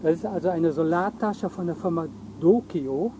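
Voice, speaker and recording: A man's voice: fairly high pitch, normal speed, noisy background. A middle-aged man co-hosting a camping vlog, casually explaining a product outdoors. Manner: explanatory, chatty, gestures while talking. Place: outdoors on a campsite lawn beside a camper van. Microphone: camera mic from a few meters.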